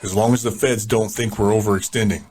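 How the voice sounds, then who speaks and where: A man's voice: lowish voice, fairly even intonation, fast talker, darkish tone, slight background noise, close to an everyday voice. A man playing a calculating warlord in an audio drama, giving orders in a curt, commanding way.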